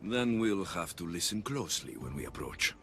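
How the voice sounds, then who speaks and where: An actor playing a grim, vengeful young mercenary in a video game, blunt and curt. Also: male voice, low voice, big pitch swings, moderate pace, fairly bright tone, full character voice. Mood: cool menace. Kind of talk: dramatic video game acting.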